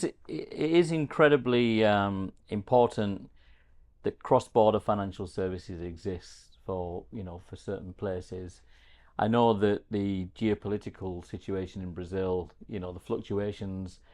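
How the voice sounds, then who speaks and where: Man, podcast host, lowish pitch, casual interview style, hesitant, rambling phrasing. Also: darkish tone. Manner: hesitant, rambling, circles around questions.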